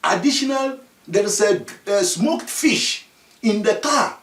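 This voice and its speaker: A man's voice: high voice, expressive, measured pace, a bit bright, echoey room, distant mic, full character voice. A man doing a stern river spirit. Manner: stern, scolding, issuing orders. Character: commanding river spirit, a hidden youth posing.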